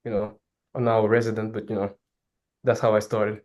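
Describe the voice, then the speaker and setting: A young man from Senegal whose first language is Wolof, a filmmaker guest talking casually about his work, upbeat and quick to laugh, often trailing into fillers. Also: lowish voice, little pitch movement, darkish tone. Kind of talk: casual interview talk.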